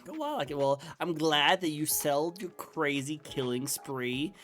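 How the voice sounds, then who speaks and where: A man's voice: on the high side, animated, moderate pace, fairly bright tone. A young man hosting a casual interview, talking with enthusiasm, many fillers and restarts as he rambles through ideas. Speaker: interview host. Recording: faint music underneath.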